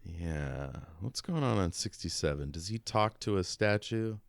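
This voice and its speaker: Man, low-pitched, clean smooth tone, casual book talk, thoughtful, dry recording. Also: expressive, a little slow, no room sound. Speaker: podcast co-host.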